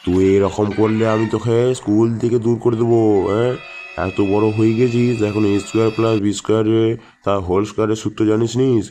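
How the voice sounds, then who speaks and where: Give the voice of a strict village schoolteacher in a comedy cartoon, acted by a man. He is hot-tempered and coarse, blustering in a lowish male voice. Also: little pitch movement, fairly quick, warm tone, soft background music, lightly acted. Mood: angry scolding. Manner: scolding, blustering, coarse-tongued. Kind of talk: comic cartoon dialogue in Bengali village school skit.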